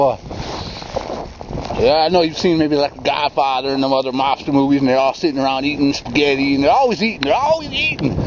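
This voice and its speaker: A man in his thirties hosting his own vlog, rambling through a slangy comic monologue with mock-tough bragging. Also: fairly high pitch. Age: 30s. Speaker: vlog host. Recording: lo-fi with loud background noise.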